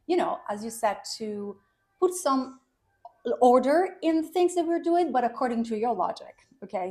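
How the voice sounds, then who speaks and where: A woman with an Italian accent, a native Italian speaker, hosting a podcast interview in a chatty, loose way with frequent restarts. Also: medium pitch. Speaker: podcast host. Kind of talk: casual interview chat.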